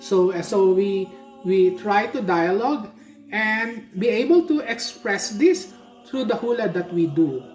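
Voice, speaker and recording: A Filipino-accented woman teaching a hula workshop, talking at an unhurried, deliberate pace and pausing to ask "okay?". Her voice sits at a middle pitch and rings in an echoey room, with faint music playing behind her. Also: lively intonation, darkish tone. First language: Tagalog. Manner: slow, explanatory, often checking with "okay?".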